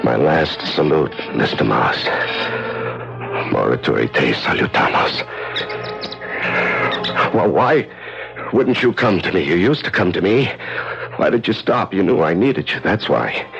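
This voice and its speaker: Despairing starving male prisoner, frail strained voice, slow halting rambling, gravelly, old-time radio drama. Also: low-pitched, expressive, full character voice. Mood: weary, pleading. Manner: rambling, halting, swinging between tender murmurs and anguished cries. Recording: dull radio recording, loud music.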